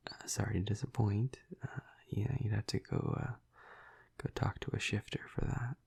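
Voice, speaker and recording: A flirty, protective male vampire, acted by a man, murmurs intimately to the listener in an audio roleplay. His low voice is very husky and breathy, thick with gravelly fry. He talks slowly and softly, with a hesitant, soothing manner. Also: fairly even intonation, heavily acted. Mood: sheepish, amused. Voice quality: breathy, gravelly half-whisper. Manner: slow, hesitant, soft, soothing.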